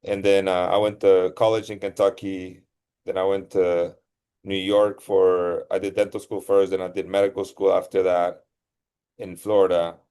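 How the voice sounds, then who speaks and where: A middle-aged man from Costa Rica speaks in a fairly low voice. He answers interview questions in a relaxed, rambling way, often correcting himself mid-sentence. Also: moderate pace, smooth. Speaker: guest, surgeon. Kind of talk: casual interview storytelling.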